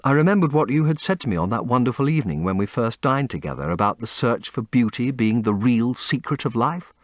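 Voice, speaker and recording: A man voicing an impressionable, earnest young English gentleman with an upper-class accent and florid manner, in an audiobook. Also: mid-range voice, expressive, brisk, dry recording, studio quality, lightly acted. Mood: ardent, excited. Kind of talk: audiobook character reading.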